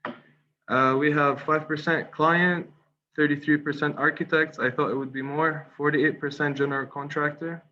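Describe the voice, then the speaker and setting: A young man from Qatar giving a webinar presentation as a guest speaker. He talks with many fillers, pauses and restarts, and polls the audience. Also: on the high side, fairly even intonation, bright, forceful tone. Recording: slightly dull online call audio with faint noise.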